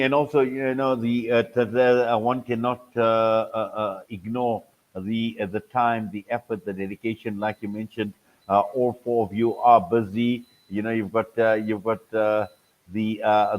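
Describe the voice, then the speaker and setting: A man with a South African accent hosting a live radio interview, speaking haltingly and wordily and often repeating himself. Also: fairly low pitch, flat delivery, a little mellow, lo-fi sound. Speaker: radio host.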